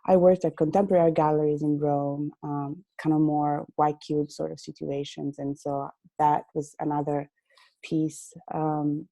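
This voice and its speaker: A woman's voice: low-pitched, little pitch movement, unhurried, mellow, no room sound. A woman from Italy, a gallery owner and artist answering interview questions hesitantly on a video call. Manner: hesitant, many fillers.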